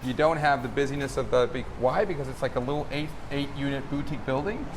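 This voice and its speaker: A man's voice: medium pitch, quick pace. A man from Chile giving a casual, emphatic property tour as the developer, often repeating and restarting his phrases. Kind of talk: casual walk-through sales pitch. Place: walking outdoors on a city street and at a building site. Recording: outdoor street noise with traffic.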